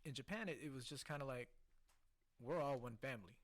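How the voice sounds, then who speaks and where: A man from the Philippines, a podcast guest, telling stories from his life in a lively, casual way and often checking in with "right?". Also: medium pitch, brisk. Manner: animated storyteller, keeps checking in with "right?". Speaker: podcast guest, casino host. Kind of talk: casual storytelling interview.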